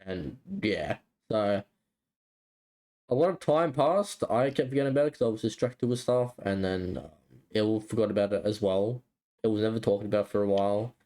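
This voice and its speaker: A man's voice: fairly low pitch, moderate pace, mellow. A man co-hosting a podcast in casual chat, explaining things in a hesitant, rambling way. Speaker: podcast co-host.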